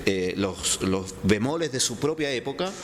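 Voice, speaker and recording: A man from Chile with a Chilean accent, a philosopher answering interview questions in a halting way, full of fillers and restarts. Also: mid-range voice, expressive. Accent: Chilean Spanish. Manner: hesitant, many fillers and restarts. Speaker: philosopher guest. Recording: reverberant room, faint background noise.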